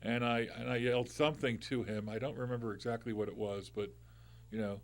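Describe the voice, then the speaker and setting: A middle-aged man with a loud voice, hosting a live call-in radio show as a lawyer, chatting in a loose, conversational way with restarts and asides into a studio mic. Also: medium pitch, fairly even intonation, fairly quick. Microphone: studio microphone.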